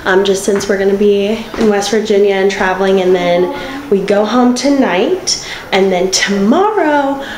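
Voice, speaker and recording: A woman's voice: medium pitch, measured pace, slight background noise, poor audio. A young woman in her twenties chatting upbeat to a handheld camera on a road trip vlog. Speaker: vlogger. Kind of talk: casual travel vlog. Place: indoors and outdoors on a road trip.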